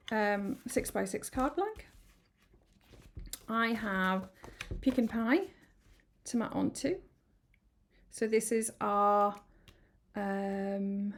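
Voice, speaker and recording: A woman chatting through a card-making tutorial, a little croaky from hay fever, rambling and apologetic with many ums. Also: mid-range voice. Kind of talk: casual craft tutorial. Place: home craft room.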